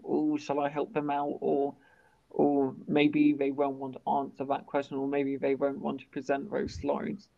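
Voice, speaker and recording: A young man hosts a relaxed podcast chat, stammering and repeating the starts of his words. His voice is fairly high for a man yet flat, with a gravelly fry. The recording sounds dull and lo-fi. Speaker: podcast host. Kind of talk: casual interview chat.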